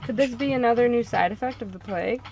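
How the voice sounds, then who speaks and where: A woman's voice: a little high, quick pace, a little mellow, a little hiss or hum. A woman reading scripted first-person visual novel narration.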